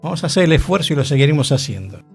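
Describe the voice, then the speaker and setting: A man's voice: fairly high pitch, big pitch swings, rapid-fire, gravelly, slightly rough, faint music behind. A man, explaining matter-of-factly on a radio talk show. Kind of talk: radio conversation. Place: radio studio.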